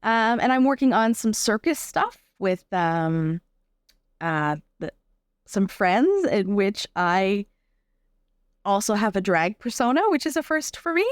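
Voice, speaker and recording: A woman with a Canadian accent talks in a casual, thoughtful interview style. Her pitch sits in the middle of the usual female range and the sound is dry and close. She explains things informally and reflectively. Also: animated, measured pace, no room sound. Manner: informal, thoughtful, explains through examples and rhetorical questions.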